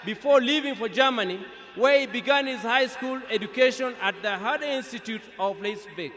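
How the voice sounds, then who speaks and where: A man with a Kenyan accent reading a formal, solemn scripted eulogy aloud, with emphatic, deliberate phrasing. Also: extremely high pitch, pushed and bright. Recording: long reverb of a large public address.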